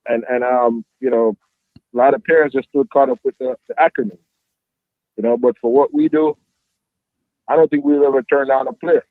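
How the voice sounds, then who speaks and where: A man's voice: medium pitch, fairly even intonation, moderate pace, pauses often, dry recording. Jamaican man on a tinny line. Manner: reflective, leans on "you know" fillers. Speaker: youth football coach. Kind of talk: interview answers. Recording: dry tinny phone-like line.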